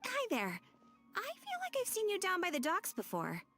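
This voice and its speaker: A woman playing a polite, curious young adventurer in a video game, courteous and expressive. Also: extremely high pitch, normal speed, heavily acted. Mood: friendly, curious. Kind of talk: scripted game character dialogue. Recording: faint music behind.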